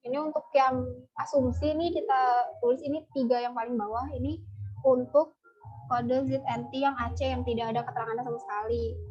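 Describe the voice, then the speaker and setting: Young woman, high pitch, hesitant student presenter on dull video-call audio. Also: slightly rough. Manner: hesitant, explaining step by step with fillers. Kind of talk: online class presentation.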